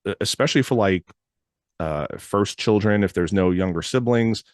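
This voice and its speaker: Hoarse, deep-voiced male podcast host. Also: no echo, studio quality. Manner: rambling, loose, with frequent "uh" fillers. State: hoarse, froggy from recent illness. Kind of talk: casual solo podcast monologue.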